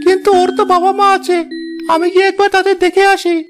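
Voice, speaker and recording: A woman's voice: very high-pitched, level tone, fast talker, over music. A woman voicing an earnest, childlike young flying horse, loyal and caring, in a fairy-tale cartoon. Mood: earnest, resolute.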